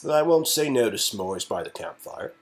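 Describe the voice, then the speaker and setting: Dude playing chill young romance game lead, teasing, bit sarcastic, faint music behind. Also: male voice, mid-range voice, expressive, moderate pace, lightly acted. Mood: thoughtful, relaxed.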